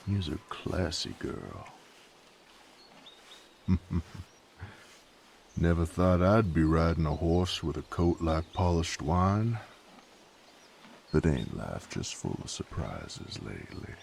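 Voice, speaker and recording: Man acting a deep gravelly drawling knight. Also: very animated, takes it slowly, long pauses, a little mellow, slight background noise, exaggerated voice. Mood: tender, amused. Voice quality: gravelly, husky. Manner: folksy drawl with rustic slang. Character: gruff sardonic rough-bred male knight, proud and hot-tempered. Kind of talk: character audio roleplay acting.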